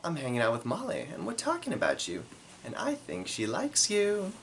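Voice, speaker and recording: An excited young female friend put on by a male storyteller, gossipy and teasing. The voice is pitched up high and swoops around, as in a comic anecdote. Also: normal speed, vocal fry, a little hiss or hum, exaggerated voice.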